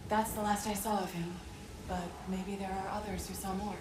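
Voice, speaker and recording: An actress playing a dutiful ghost messenger in a video game, earnest and halting, echoing over background noise. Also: female voice, medium pitch, steady tone, lightly acted. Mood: resigned, recounting. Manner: halting, urgent. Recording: echoey, noisy ambient game scene.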